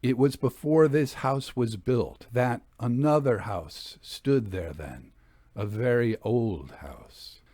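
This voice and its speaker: A man's voice: mid-range voice, takes it slowly, warm tone, dry recording, clean studio sound. A man voicing an uneasy, entranced woman clairvoyant in a Victorian ghost story audiobook. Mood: entranced, grave.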